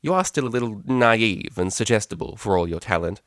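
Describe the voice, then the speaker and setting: A man's voice: lowish voice, expressive, brisk, a bit bright, no echo, clean studio sound. A man voicing a haughty, commanding pony mother with a clipped, condescending manner, in a story reading. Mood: stern.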